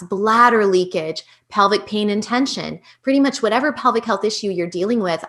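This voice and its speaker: Woman, podcast host, high-pitched voice, engaged, chatty. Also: fairly bright tone. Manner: engaged, frequent affirmations. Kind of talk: interview podcast.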